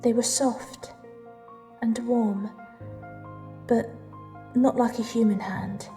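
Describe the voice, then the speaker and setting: A lonely British woman played by an actress tells her story in an intimate, reflective audio drama style. She speaks slowly in a slightly high voice, leaving deliberate pauses, with music underneath. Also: fairly even intonation, warm tone. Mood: tender, wondering. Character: lonely, introspective woman telling her dark love story. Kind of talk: dramatic first-person audio drama narration and dialogue.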